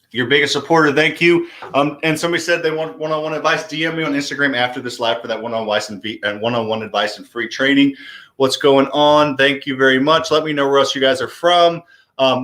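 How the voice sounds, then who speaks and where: A man's voice: medium pitch, fairly bright tone. An American man in his thirties hosting a casual live lesson, explaining to viewers, repeating key phrases and checking in with his audience. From Missouri, US. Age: 30s. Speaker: host, real estate investing coach. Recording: echoey, roomy live-stream sound.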